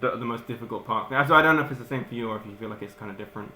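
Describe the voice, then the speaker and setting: Brief: male podcast host, easygoing and chatty, mid pitch, echoey room with faint hiss. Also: pushed and bright. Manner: chatty, quick to agree and joke. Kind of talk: casual podcast chat.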